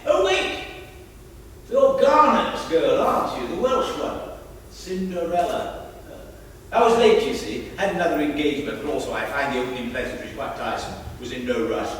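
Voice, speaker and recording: A man's voice: mid-range voice, fairly bright tone, a little hiss or hum, natural voice. An actor playing a drunk, nosy upper-class man at a party, theatrical and sly. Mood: drunken bluster. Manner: theatrical, sly, drawling with sudden outbursts. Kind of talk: stage play performance. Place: theatre stage. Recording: echoey theatre stage from far off.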